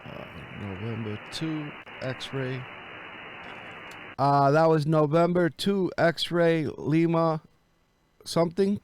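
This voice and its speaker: A man's voice: fairly high pitch, slow, a little mellow. Man calling on ham radio, noisy recording. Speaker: amateur radio operator, video host. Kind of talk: casual chat and radio calling with phonetic alphabet.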